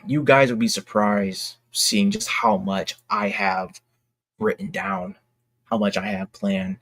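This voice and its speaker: A young man talking casually and candidly to his fans in a halting, reflective, earnest way, as an independent creator. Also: fairly low pitch, expressive, creaky, a bit bright, husky, dry recording. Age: young adult. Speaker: indie comic creator and vlogger.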